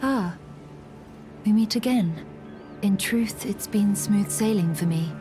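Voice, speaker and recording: An actress playing an earnest, stoic young swordswoman in a fantasy game, formal and measured, with breathy phrase endings. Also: mid-range voice, over music, natural voice. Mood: calm, reflective. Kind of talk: dramatic fantasy game acting.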